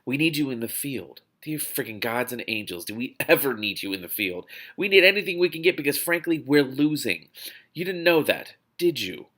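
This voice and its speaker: A man's voice: medium pitch, sing-song, normal speed, a bit bright. A man voicing a blunt, wisecracking male field agent from a novel, speechifying in emphatic slang. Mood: urgent, frank.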